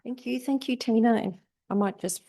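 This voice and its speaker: A woman with an Australian accent giving a conversational guest lecture, with a loud, projecting voice. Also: fairly high pitch, normal speed. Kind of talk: informal academic lecture. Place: lecture room.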